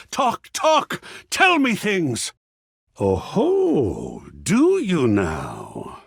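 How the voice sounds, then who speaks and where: An actor playing a flamboyant, pretentious and excitable art lover in a video game, with a theatrical, effusive, wordy delivery and a gravelly, husky voice. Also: male voice, a little high, dry recording, studio quality, heavily acted. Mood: eager, showy, then excited, impassioned. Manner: theatrical, effusive, grandiose, verbose.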